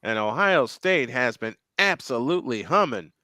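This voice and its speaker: A man's voice: mid-range voice, animated, normal speed, sharp tone, slight background noise. A man calling a live football game play by play, with his voice rising sharply on big plays. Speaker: play-by-play commentator. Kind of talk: live game commentary on a fan stream.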